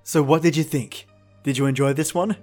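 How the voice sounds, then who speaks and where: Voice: medium pitch, fairly even intonation, a little fast, a little mellow, soft background music. A podcast host speaking casually to listeners in an outro.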